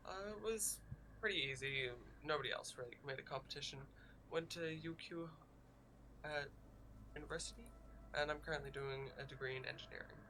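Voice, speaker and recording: A man's voice: on the high side, fairly quick, bright, forceful tone, slight background noise, rough recording. A man playing a boastful male engineering student in a tabletop game, speaking in a smug, matter-of-fact way.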